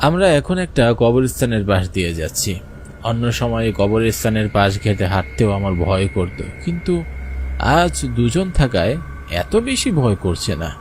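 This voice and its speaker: A man's voice: fairly low pitch, expressive, normal speed, slight background noise, close to an everyday voice. A man playing a frightened village fisherman, narrating a cartoon horror story in the first person with suspense and anxious urgency. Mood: uneasy storytelling.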